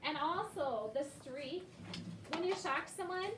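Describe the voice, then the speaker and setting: Female elementary teacher, high voice, questioning and encouraging, recorded at a distance in a classroom. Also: animated, measured pace. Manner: questioning, encouraging, checks for understanding. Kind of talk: classroom lesson to young children. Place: indoor classroom. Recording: echoey noisy classroom, distant mic.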